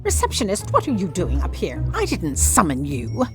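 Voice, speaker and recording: A woman's voice: lowish voice, huge pitch swings, normal speed, over music. A woman playing a haughty, indignant chief editor in a comic audio drama, pompous and commanding. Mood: imperious.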